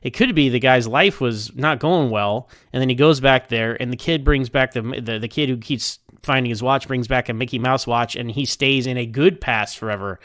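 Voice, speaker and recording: A man's voice: medium pitch, moderate pace, hardly any pauses, a bit bright, no room sound, clean studio sound. Clean-voiced man casually reviewing television. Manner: conversational, hedging, thinking aloud. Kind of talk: casual rambling TV episode review.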